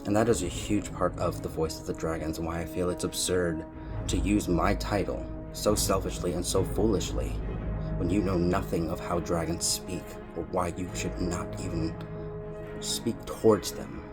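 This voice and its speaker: A man delivering an earnest spiritual monologue in a solemn, deliberate manner, leaning on repeated words for emphasis. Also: deep voice, fairly even intonation. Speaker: spiritual content creator speaking to followers. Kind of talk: earnest spoken monologue on personal spiritual beliefs. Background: music.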